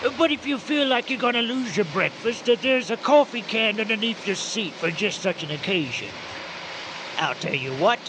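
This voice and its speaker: An actor playing a genial, middle-aged boat skipper in a radio drama, courteous and folksy, with a hesitant way of talking. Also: male voice, high-pitched, lively intonation, normal speed. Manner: folksy, hesitant with frequent uhs. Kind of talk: old-time style radio drama acting. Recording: noisy boat engine and wind sound effects.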